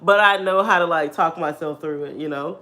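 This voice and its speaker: A woman in her thirties with a deep, husky voice, talking candidly to her viewers in a casual chat and laughing often. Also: normal speed, pushed and bright. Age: 30s. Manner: chatty and candid, laughs often, addresses viewers as "girl" and "y'all". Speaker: vlogger.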